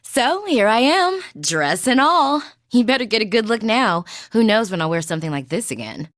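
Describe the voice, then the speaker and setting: Suave, flirty noblewoman, lively and dry-miked. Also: a little high, moderate pace, dry recording. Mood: playful, showy. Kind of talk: anime game dub acting.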